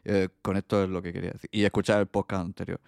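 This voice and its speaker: A man's voice: low-pitched, level tone, quick pace, gravelly, clear, resonant tone, no echo, clean studio sound. A man co-hosting a podcast, tripping over his words and joking about it. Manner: stumbles over words, self-mocking, laughs easily. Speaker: podcast co-host. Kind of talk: casual podcast chat.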